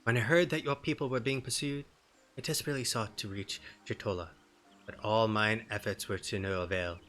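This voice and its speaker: A man voicing a formal, solemn noblewoman from a fantasy game in measured, archaic diction. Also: lowish voice, steady tone, fairly bright tone, a little hiss or hum, lightly acted.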